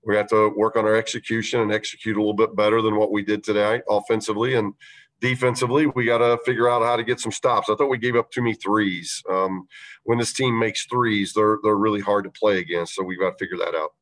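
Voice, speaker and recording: A man coaching a basketball team, answering reporters' questions after a game, measured and halting with many fillers. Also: fairly low pitch, flat delivery, fairly bright tone, rough recording. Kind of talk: post-game press conference answers.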